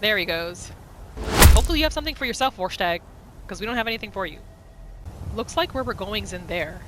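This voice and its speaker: Lively woman narrating a game playthrough. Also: medium pitch, brisk, heavy background noise. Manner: chatty, informal, storytelling in third person. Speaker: gaming video host. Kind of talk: casual roleplay gameplay narration.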